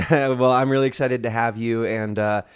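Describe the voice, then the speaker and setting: A man's voice: mid-range voice, fairly even intonation, normal speed, clean tone. A man hosting a podcast, chatting casually with a guest, friendly and loose with fillers and restarts. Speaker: podcast host. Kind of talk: casual interview chat.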